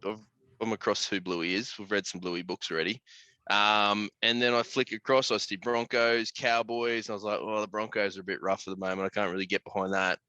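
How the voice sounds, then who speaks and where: Man, Australian accent, blokey podcast host, slangy and profane, lowish voice, very dry close sound. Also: flat delivery, fairly quick, sharp tone, dry recording, muffled recording. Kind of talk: casual podcast chat.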